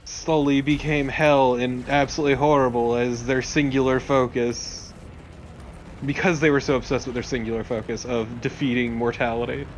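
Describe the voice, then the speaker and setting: A man's voice: medium pitch, level tone, normal speed, a little hiss or hum, poor audio. A man giving casual let's play commentary and recapping game lore in a dry, wry manner. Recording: game ambience behind commentary.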